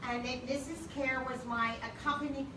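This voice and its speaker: A woman's voice: high-pitched, steady tone, normal speed, noisy background. A woman in her seventies recalling a solo she sang in her school choir, with amused storytelling. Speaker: choir alumna. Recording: distant phone stream in an echoey church hall with a crowd.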